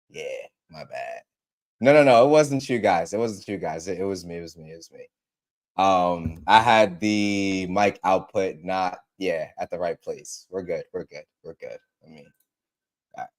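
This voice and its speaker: A man hosting a casual tech livestream, a little congested from allergies, repeating himself and greeting viewers by name. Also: low voice. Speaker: livestream host.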